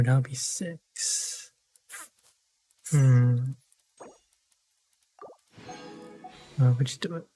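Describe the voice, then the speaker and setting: Slow, husky, low-key male gamer. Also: warm tone. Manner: low-key, muttering to himself. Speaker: game streamer. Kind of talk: casual gameplay commentary. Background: background game music.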